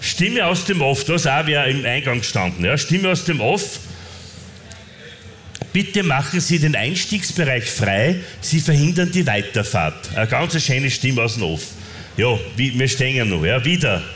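A man's voice: medium pitch, animated, normal speed, a bit bright, a little hiss or hum. Playful Upper Austrian man co-hosting. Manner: playful, reads anecdotes aloud with gusto. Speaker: co-host. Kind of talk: casual talk show banter.

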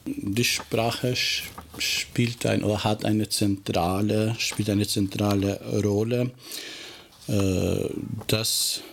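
Slow, flat-voiced man, native Albanian speaker. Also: on the low side, a little hiss or hum. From former Yugoslavia. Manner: halting, searches for words and restarts sentences. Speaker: teacher being interviewed. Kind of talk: interview answers.

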